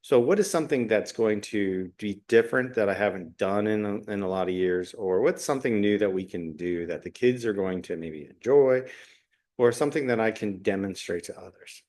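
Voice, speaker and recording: A middle-aged man talking unscripted as a solo podcast host, reflective and halting, often pausing mid-phrase. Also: lowish voice, darkish tone. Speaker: podcast host, special education teacher.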